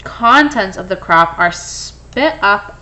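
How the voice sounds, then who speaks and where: Female picture-book reader, unhurried, expressive, very varied pitch. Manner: expressive, acts out dialogue. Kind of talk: animated children's read-aloud.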